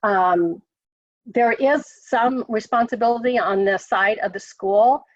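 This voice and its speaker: A woman official with an American accent, hoarse from a cold, briefing on a conference call, halting with many ums and restarts. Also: fairly high pitch, no room sound. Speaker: state education official. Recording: dry muffled call audio.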